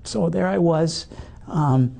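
A man around fifty with a hoarse, worn voice tells his personal story in conversation, earnest and halting with many fillers. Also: fairly high pitch, warm tone. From Canada. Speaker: guest sharing a personal testimony.

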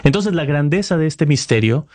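A man's voice: on the high side, expressive, moderate pace, a little mellow. Man, 30s, Costa Rican accent, earnest, explanatory, interview guest. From Costa Rica. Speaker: Vatican correspondent, guest. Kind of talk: reflective interview answers.

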